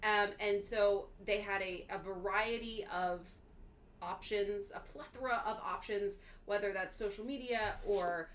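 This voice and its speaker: A woman's voice: mid-range voice, steady tone, a little slow, a little hiss or hum. A woman co-hosting a casual book club livestream, explaining her thoughts point by point.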